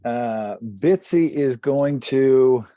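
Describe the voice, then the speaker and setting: A man's voice: mid-range voice, very slow, mellow, dry recording. A man who is a player in a tabletop role-playing game, chatting casually at the table as he works through his turn.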